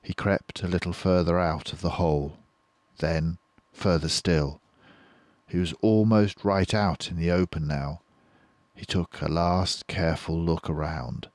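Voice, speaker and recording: Very deep, slow older male storyteller. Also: darkish tone, dry recording. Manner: measured, careful, suspenseful. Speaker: storybook reader. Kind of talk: expressive children's story narration.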